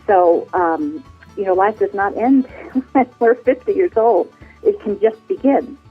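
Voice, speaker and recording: A woman's voice: medium pitch, expressive, measured pace, breathy edge, faint music behind. A woman in her sixties chatting casually as an interview guest, effusive and rambling, over a telephone. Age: 60s. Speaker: podcast guest, flight attendant. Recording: tinny phone-line sound.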